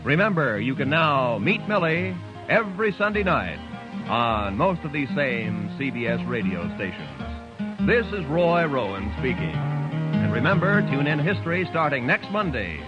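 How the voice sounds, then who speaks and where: Animated American male radio announcer. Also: on the high side, moderate pace. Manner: formal, emphatic. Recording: muffled vintage radio broadcast. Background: music.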